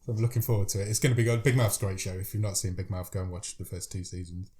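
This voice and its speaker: A man with a cold co-hosts a podcast. He talks fast in a low, mellow voice, and he gets eager as he reads out film blurbs. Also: fairly even intonation. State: has a cold, deeper voice. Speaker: podcast co-host. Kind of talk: casual chat about films.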